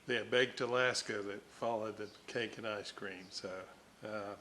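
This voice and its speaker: An older man giving casual, halting, folksy narration as a hobbyist at his workbench. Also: on the low side, fairly even intonation, bright, forceful tone, a little hiss or hum. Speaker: radio restoration hobbyist and host.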